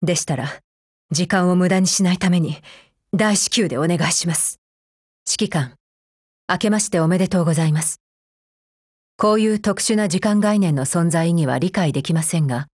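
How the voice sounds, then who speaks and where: A woman's voice: fairly low pitch, a little mellow, breathy edge, no room sound, clean studio sound. A woman playing a cold, efficiency-minded female combat android in a mobile game, speaking formally in a clipped, stern, unemotional way. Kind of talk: scripted mobile game character voice lines.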